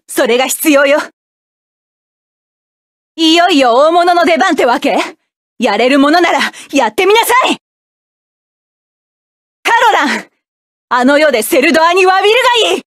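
A fierce young mech pilot, barking battle cries and curt orders in a high, bright voice. The sound is dry and close, with no room around it. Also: female voice, dry recording. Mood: determined. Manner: forceful battle shouts and clipped commands. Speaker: voice actress. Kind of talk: game voice clips.

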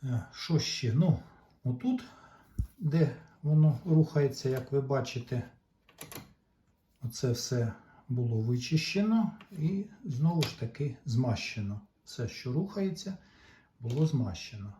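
A man giving an informal, unscripted repair walkthrough as a hobbyist, explaining haltingly and pausing mid-sentence. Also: mid-range voice, lively intonation, warm tone, slight background noise. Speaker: repair hobbyist presenting a demonstration. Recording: dull lo-fi sound.

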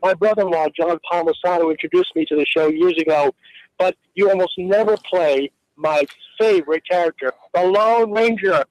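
High-pitched male caller. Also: moderate pace, a little mellow, no echo, poor audio. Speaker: radio phone-in caller. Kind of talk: casual fan call.

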